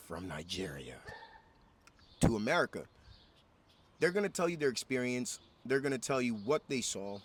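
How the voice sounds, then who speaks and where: Excitable profane man hosting interview. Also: medium pitch. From Ghana. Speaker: vlog interviewer. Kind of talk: casual street interview. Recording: distant mic with background noise.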